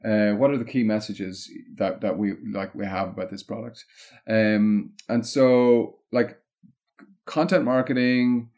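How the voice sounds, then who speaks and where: A man with an Irish accent explaining things in a casual interview, in a discursive, halting way with plenty of "like" and "you know". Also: fairly low pitch, steady tone, a little mellow. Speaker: interview guest, content marketing consultant.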